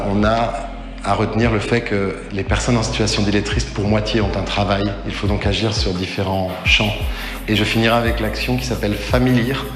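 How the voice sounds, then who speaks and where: Low-voiced man in an echoey recording. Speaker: regional official, explainer. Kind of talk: prepared explanatory answers. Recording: echoey reverberant sound with music bed.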